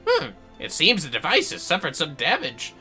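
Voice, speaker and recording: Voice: mid-range voice, huge pitch swings, normal speed, bright, forceful tone, soft background music, heavily acted. A game streamer voicing a pompous, self-important male inventor from a fantasy game, with haughty, florid, dismissive delivery. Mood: proud, boastful. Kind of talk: theatrical read-out of game dialogue.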